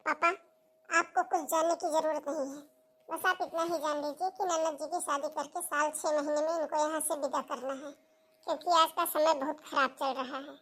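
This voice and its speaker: A woman's voice: high voice, fairly even intonation, moderate pace, fairly bright tone. A woman voicing a spiteful, scheming young sister-in-law who nags and insinuates in a cartoon family drama. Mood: dismissive. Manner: insinuating, nagging. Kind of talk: Hindi cartoon family melodrama.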